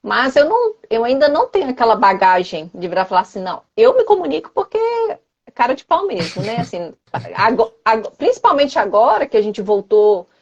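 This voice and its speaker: A Brazilian woman in her thirties talks casually as an interview guest, circling back over her words and tagging questions onto her sentences. Her voice sits somewhat high. The audio is dull and lo-fi, like a video call. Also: very animated, fairly quick. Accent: Brazilian Portuguese. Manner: chatty, repeats and restarts phrases, many tag questions. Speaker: interview guest, former student.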